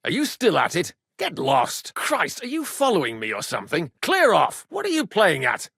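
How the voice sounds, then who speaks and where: An actor playing a cheeky, good-humored medieval rogue and loyal younger brother in a video game, with colloquial, irreverent banter. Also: male voice, high-pitched, wildly swooping pitch, moderate pace, creaky, fairly bright tone, slightly rough, no room sound, clean studio sound, full character voice. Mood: annoyed. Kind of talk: video game dialogue acting.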